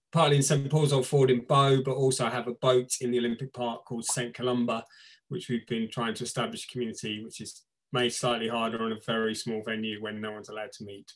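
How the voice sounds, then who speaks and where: A man who is a vicar, introducing himself in a measured, unhurried way on a video call. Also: mid-range voice. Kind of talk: self-introduction.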